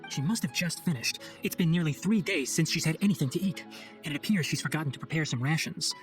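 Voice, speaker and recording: A man performs an earnest young forest ranger in a game cutscene. The voice sits fairly high for a male and moves quickly, with formal, articulate phrasing and a composed, scholarly manner. Music plays behind it. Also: close to an everyday voice. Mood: composed, urgent. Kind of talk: video game cutscene voice acting.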